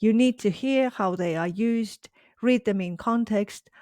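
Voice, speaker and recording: A woman narrates a scripted language lesson, saying each example word twice, slowly and deliberately. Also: medium pitch, a little mellow, dry recording, clean studio sound. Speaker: language teacher.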